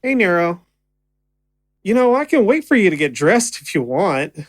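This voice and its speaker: A woman's voice: mid-range voice, sing-song, moderate pace. A woman playing a young stage magician, affectionate and easily flustered, in a naturalistic audio drama. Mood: awkward, flustered.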